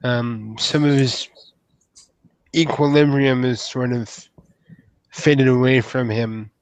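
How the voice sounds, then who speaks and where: A man playing an awkward, polite troll knight in a tabletop roleplay, speaking in a halting, formal, flustered way. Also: mid-range voice, fairly even intonation, clear, resonant tone, no echo, rough recording. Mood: awkward, solemn. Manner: halting, hesitant, hedging politely.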